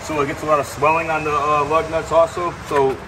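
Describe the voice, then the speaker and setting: A man's voice: on the high side, level tone, a little fast. A man talking casually about repair work as a shop technician. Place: open-sided covered outdoor boat trailer yard. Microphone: camera mic a few metres away. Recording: distant mic, clear shop background noise.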